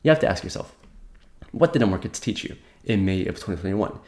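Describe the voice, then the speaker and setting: A man's voice: fairly low pitch, lively intonation, brisk, darkish tone. A young man giving a casual market update while slightly sick, rambling with many fillers and restarts. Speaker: crypto market commentator.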